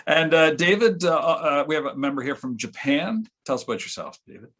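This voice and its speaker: A man hosting a casual discussion and putting questions to guests in a jocular, halting way. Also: a little high, animated, fairly bright tone. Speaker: discussion host. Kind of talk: casual chat, interviewing guests.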